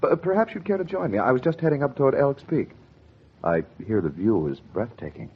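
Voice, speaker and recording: An actor playing a courteous, earnest bachelor suitor, polite and a little hesitant, in 1940s radio acting style. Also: male voice, mid-range voice, very animated, a little fast, husky. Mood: courteous, interested. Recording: muffled, faintly noisy 1940s radio recording.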